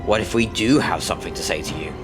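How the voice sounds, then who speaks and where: A man's voice: low voice, quick pace, music in the background, close to an everyday voice. A man playing a sly, taunting illusion of a dead officer in a science fiction audio drama. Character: mocking illusion posing as a dead lieutenant.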